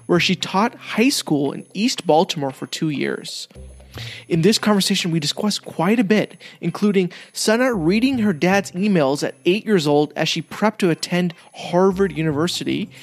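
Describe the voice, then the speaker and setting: A man with a slightly higher-than-average voice speaks as a podcast host. He presents and interviews in a podcast format. Faint music can be heard with his voice.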